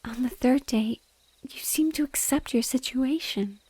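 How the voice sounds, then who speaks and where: A mournful banshee, played by a woman, speaks in a soft, breathy whisper on the high side. Her delivery is very slow and tender, full of sorrowful devotion. It is an intimate audio roleplay performance. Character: sorrowful female banshee, gentle and lovingly devoted.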